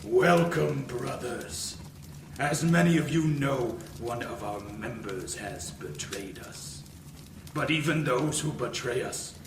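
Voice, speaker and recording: A man playing a smug, villainous master of ceremonies for a cult in a noir audio drama, theatrical and deliberate. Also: mid-range voice, crowd noise. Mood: ceremonial. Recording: echoey, distant mic, clear background noise.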